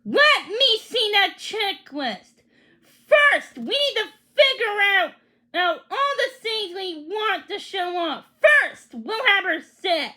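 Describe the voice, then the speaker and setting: A woman's voice: extremely high pitch, very animated, takes it slowly, sharp tone, voice pushed up, full character voice. A woman voicing a bossy, organized young girl from a children's storybook, in an emphatic, commanding manner.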